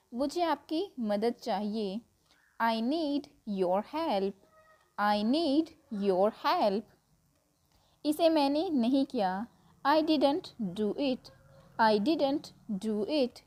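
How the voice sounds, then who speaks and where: A woman with an Indian accent reading out example sentences as an English teacher, in a deliberate, repetitive drill style. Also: on the high side, a little mellow. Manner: deliberate, repeating drill delivery. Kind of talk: scripted language lesson, reading example sentences.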